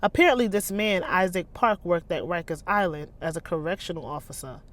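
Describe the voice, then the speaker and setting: Woman reading smug, measured male police detective. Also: on the low side, a bit bright, close to an everyday voice.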